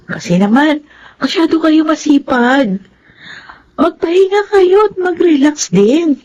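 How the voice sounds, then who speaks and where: An earnest, affectionate young Filipino woman in a radio drama. Her voice sits fairly high and the sound is a little dull and lo-fi. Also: animated, a little slow, darkish tone. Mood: concerned, caring. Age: young adult. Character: Ivy, caring, earnest young woman, devoted daughter.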